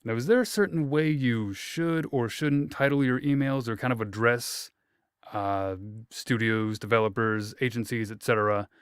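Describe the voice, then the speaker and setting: A man's voice: mid-range voice, normal speed, smooth, no room sound, clean studio sound. A man hosting an interview, asking long rambling questions with self-corrections and a light, joking manner. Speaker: interview host.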